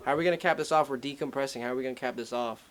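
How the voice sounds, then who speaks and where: A man's voice: mid-range voice, racing. A young man co-hosting a casual podcast chat, loose and slangy, swearing freely. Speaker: podcast co-host.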